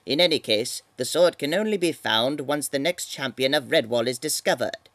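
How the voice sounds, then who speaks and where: A rambling old cartoon mouse, played by a man, pompous and scolding. He lectures at length with lively pitch movement. The sound is dry. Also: moderate pace, dry recording. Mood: pompous, lecturing. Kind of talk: parody fan dub of a cartoon.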